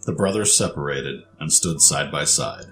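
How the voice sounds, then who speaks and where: Male narrator, low register, scripted fiction storytelling over faint music. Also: moderate pace, a bit bright.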